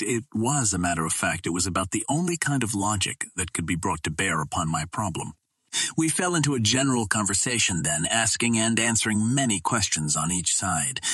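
A man reading an adventure novel aloud as a scripted narration, in a measured storytelling manner. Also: lowish voice, a bit bright, slightly rough, no room sound. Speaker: audiobook narrator.

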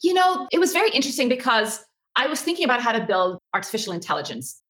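A woman from Egypt giving conversational, animated answers as an interview guest. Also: fairly high pitch, fast talker. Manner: animated, expressive. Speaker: interview guest, tech company co-founder.

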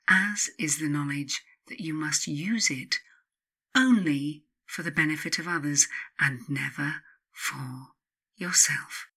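A woman reading her own self-help book as scripted narration, in a measured, instructive manner with emphatic pauses. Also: deep voice, bright, forceful tone, breathy edge.

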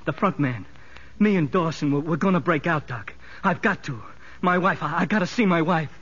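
An actor playing a desperate, homesick convict in a 1950s radio drama, halting and anguished. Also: male voice, a little high, a bit bright. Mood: frantic, pleading. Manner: halting, anguished, repeating himself. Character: desperate, homesick male convict pleading under hypnosis. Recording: dull, muffled vintage radio recording.

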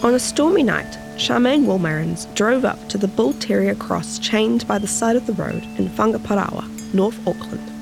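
A woman's voice: medium pitch, animated, normal speed, vocal fry, hardly any pauses, music in the background. A woman journalist with a New Zealand accent, presenting a reported story for a podcast. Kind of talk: interview chat and scripted feature narration.

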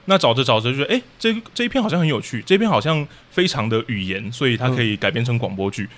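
A man's voice: mid-range voice, expressive, racing, fairly bright tone. A man with a Taiwanese Mandarin accent, a podcast guest explaining things in casual interview talk. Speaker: podcast guest, audio drama troupe leader.

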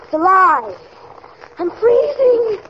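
An actress playing a teasing, jealous, complaining bride in an old-time radio drama, with an arch, wry delivery. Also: female voice, very high voice, very animated, slow, a bit bright, heavily acted. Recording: dull, noisy old radio recording.

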